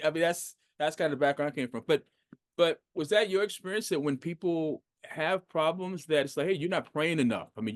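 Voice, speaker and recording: A man in his fifties from the United States, hosting a faith podcast and talking in an animated, conversational way with plenty of fillers and restarts. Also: a little high, no echo, studio quality. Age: 50s. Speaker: podcast host. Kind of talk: casual interview.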